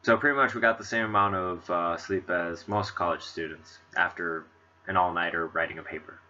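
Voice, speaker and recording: A man's voice: sits low, a little fast, pushed and bright, highs cut off. A man with a stuffy, congested nose, rambling chattily in casual commentary on a game he is playing. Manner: rambling, chatty, many fillers. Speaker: let's play host.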